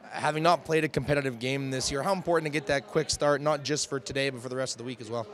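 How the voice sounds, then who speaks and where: A man interviewing players and coaches after a game, asking brisk questions. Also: mid-range voice, fairly even intonation, a bit bright.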